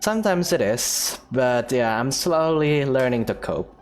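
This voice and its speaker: A woman's voice: very deep voice, lively intonation, unhurried, darkish tone, soft background music. A woman streaming a game with casual, reflective commentary, answering the characters a little hesitantly.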